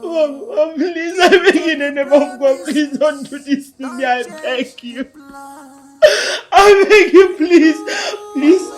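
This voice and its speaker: A woman's voice: medium pitch, slow pace, pauses often, reverberant, faint music behind, close to an everyday voice. A woman acting a grief-stricken young princess in a melodramatic film, with a Nigerian accent and a pleading, wailing delivery. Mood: wailing, desperate.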